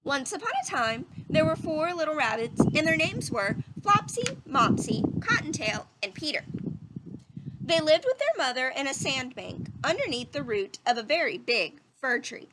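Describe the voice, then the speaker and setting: A woman reading a children's story aloud in an instructive, teacherly manner, pausing to put questions to young listeners. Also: sits high, sing-song, very noisy. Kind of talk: children's read-aloud narration.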